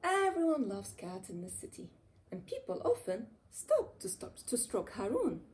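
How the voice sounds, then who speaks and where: Female storyteller for a picture book: soft-toned, expressive and dramatic, with swooping delivery. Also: a little high, normal speed. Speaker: children's author reading her own book aloud. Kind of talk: animated storybook read-aloud.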